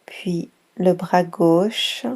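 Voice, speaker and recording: A woman guides children through a yoga nidra relaxation. Her voice is mellow and warm, and she talks very slowly, leaving long pauses between short, gentle phrases. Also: fairly low pitch, steady tone. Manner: slow, soft guiding voice with long pauses.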